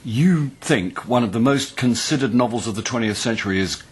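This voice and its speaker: A man acts a middle-aged male literature tutor in a radio play. His southern English voice is husky and of medium pitch, and he speaks in a dry, donnish, pedantic way, easily exasperated by his pupil. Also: normal speed, lightly acted. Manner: hesitant, dry, articulate, pedantic. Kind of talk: radio drama acting.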